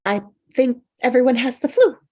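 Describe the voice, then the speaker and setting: A woman's voice: sits high, expressive, moderate pace, a little mellow. A woman playing a stubborn, defensive maid of honor, awkward and insistent, in a comedy table read. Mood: stubborn denial.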